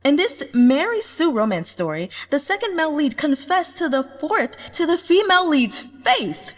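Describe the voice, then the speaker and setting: A woman's voice: high-pitched, very animated, normal speed, big-room sound, voice pushed up. A woman voicing gushing young female readers of an online novel, exclaiming and gossiping in an audiobook. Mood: excited, astonished.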